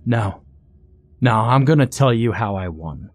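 A man's voice: mid-range voice, animated, measured pace, a little mellow, dry recording. A determined everyman played by a male narrator argues his case point by point. He is assertive and matter-of-fact, in a dry, close storybook recording. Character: determined, clever male contestant, a loophole-hunting everyman.

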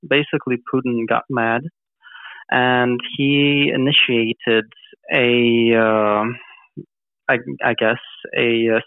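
A man, a podcast guest, explaining things carefully and pausing to find his words over a tinny phone-like line. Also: medium pitch, creaky, dry recording. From eastern Ukraine. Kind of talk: casual podcast conversation.

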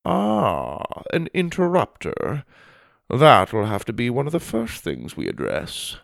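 A snobbish headmaster from a storybook, acted out by a male narrator, speaks with stretched, precise words. His pitch swoops with disdain, and there is some gravel in the voice, captured close and dry. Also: huge pitch swings, fairly bright tone, no echo, exaggerated voice. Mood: haughty, formal. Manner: haughty, drawn-out, precise delivery. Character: snobbish, fancy male headmaster of a manners school.

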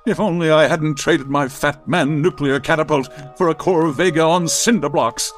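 A man acts out an indignant, pompous husband from old-time radio. He declaims in a fairly high, overwrought voice with faint music underneath. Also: moderate pace, lightly acted. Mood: indignant despair. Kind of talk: 1940s radio melodrama acting.

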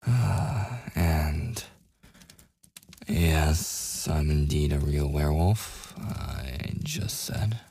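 A man playing a taunting, possessive werewolf in an ASMR roleplay, with a gravelly, husky, breathy voice and a teasing, menacing manner. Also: extremely low pitch, lively intonation, extremely slow, mellow, heavily acted. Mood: sardonic. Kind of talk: boyfriend ASMR audio roleplay acting.

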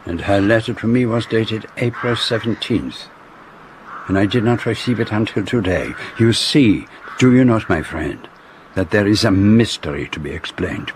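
An actor playing a fastidious, self-important foreign detective with French as his native tongue, speaking precisely and formally in a radio drama. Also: mid-range voice, expressive, a little hiss or hum, close to an everyday voice. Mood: measured, fastidious.

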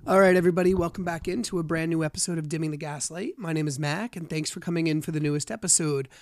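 A man hosting a podcast in casual chat, raspy and a bit under the weather, candid and animated, swearing freely. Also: a little high, fast talker, faint music behind. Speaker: podcast host.

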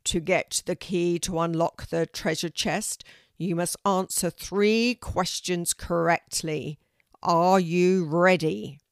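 A woman voicing a stern talking oak tree teacher in a children's storybook, loud and commanding with slow, deliberate delivery. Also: steady tone, clear, resonant tone, dry recording, studio quality. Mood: booming, formal. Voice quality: deep, loud. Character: booming, stern oak tree teacher setting spelling questions.

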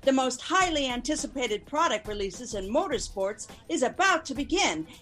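A woman's voice: sits high, big pitch swings, moderate pace, fairly bright tone. A woman playing a showy event announcer and reporter, barker style, in a scripted radio drama.

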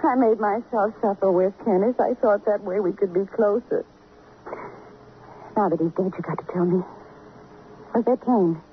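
An actress playing a grief-stricken, self-pitying wife of a murdered man in halting, intense radio drama style. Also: mid-range voice, close to an everyday voice. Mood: numb grief. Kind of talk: old-time radio drama acting. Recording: tinny, noisy vintage radio recording.

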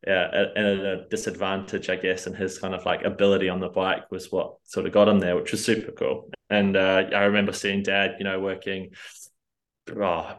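A man's voice: fairly low pitch, a bit bright. A man in his twenties, raised in the north of England, now speaking with a New Zealand accent, telling his story in a rambling casual way full of fillers. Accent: New Zealand, with northern English roots. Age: 20s. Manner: rambling, lots of fillers like "like" and "kind of". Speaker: triathlete guest.

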